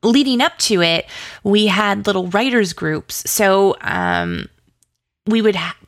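A woman's voice: mid-range voice, very animated, takes it slowly, no echo, clean studio sound. A woman chatting casually as an interview guest, effusive and rambling, peppering her talk with "like" and "you know". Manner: effusive, enthusiastic. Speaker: interview guest, audio drama writer and producer.

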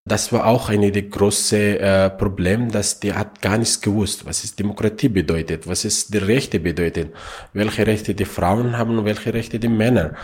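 A man from Afghanistan, answering interview questions in halting, broken German with many fillers. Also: fairly low pitch, fairly even intonation, darkish tone, husky. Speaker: refugee youth helper.

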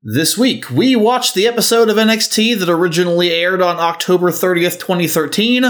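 A man's voice: high-pitched, brisk, fairly bright tone. A man co-hosting a podcast, moving between scripted introductions and casual chat with a theatrical, announcer-like delivery. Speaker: podcast co-host.

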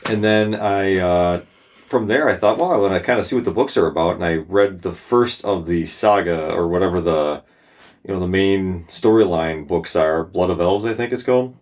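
A man's voice: low-pitched, moderate pace, a little mellow. A man co-hosting a casual book podcast, joking, laughing often and swearing casually. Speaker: podcast co-host. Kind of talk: casual book club chat.

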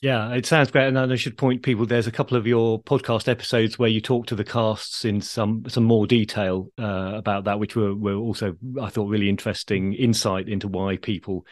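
A man's voice: on the low side, little pitch movement, normal speed, hardly any pauses, no echo. British man hosting, very dry close sound. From United Kingdom. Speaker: podcast host. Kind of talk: conversational interview hosting.

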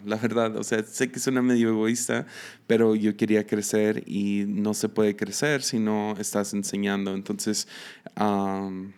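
A man in his thirties with a Mexican accent, a missionary giving a casual teaching talk, often pausing on fillers and restarting sentences. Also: fairly low pitch, creaky, darkish tone. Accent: Mexican Spanish. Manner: hesitant, many fillers and restarts. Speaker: missionary podcast host.

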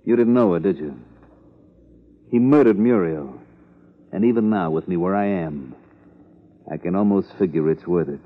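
A man's voice: deep voice, normal speed, pauses often. A man playing a bitter, unrepentant murderer, well-spoken and defiant, in a 1950s crime radio drama. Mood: bitter, brooding. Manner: precise, defiant. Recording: muffled 1950s radio recording with music.

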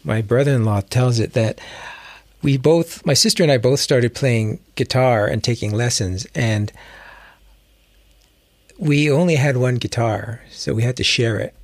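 A man's voice: mid-range voice, unhurried, no room sound. Reflective man chatting in a close, dry recording. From Hawaii, United States. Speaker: guest musician and music instructor. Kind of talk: casual interview storytelling.

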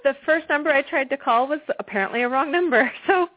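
A woman's voice: high-pitched, quick pace. A woman from western Canada with a Canadian accent, a guest author talking casually in an interview. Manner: relaxed, conversational with small restarts. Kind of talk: interview on a call-in show. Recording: tinny phone line.

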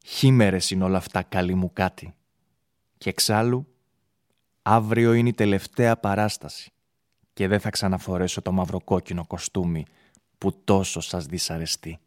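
A man's voice: sits low, steady tone, dry recording, studio quality, natural voice. A man playing an ardent, ambitious young stage actor in love, in a theatrical, impassioned radio drama style. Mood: soothing.

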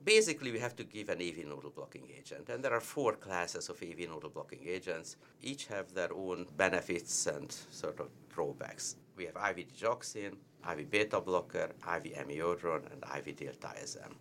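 Deep-voiced man with a Hungarian accent. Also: expressive, a little fast, few pauses, a bit bright, a little hiss or hum. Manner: emphatic, repeats key points. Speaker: cardiologist. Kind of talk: medical teaching discussion.

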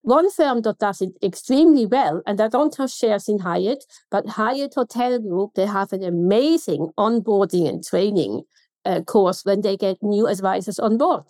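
A woman's voice: medium pitch, expressive, moderate pace, dark tone, dry recording. Emphatic Swiss woman with a German accent. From Switzerland. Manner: emphatic, pointed rhetorical questions. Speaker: guest consultant. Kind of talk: podcast interview.

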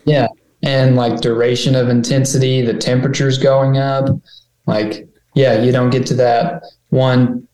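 A man's voice: medium pitch, dark tone. A man chatting casually on a podcast in an easygoing, conversational way. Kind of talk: casual chat. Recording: roomy sound.

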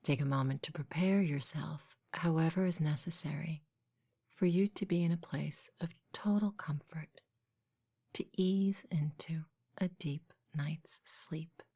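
A woman guiding a sleep meditation in a soft, whispery, husky voice, gentle and unhurried. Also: sits low. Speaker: meditation guide. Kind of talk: guided sleep meditation, slow soothing narration.

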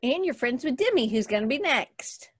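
A woman's voice: high-pitched, very animated, moderate pace, slightly rough, no echo. A playful woman hosts a group video call, and her voice swoops up and down as she jokes. The sound is dry and close, with no room around it. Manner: playful, joking, bouncy. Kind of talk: casual chat.

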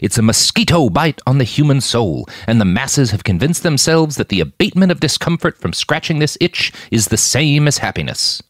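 A man's voice: medium pitch, very animated, normal speed, hardly any pauses, dry recording, clean studio sound, pitched above the performer's own voice. A man voicing a fervent male pastor's written words in an audiobook. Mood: fervent, preachy. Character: fervent male pastor railing against modern distraction.

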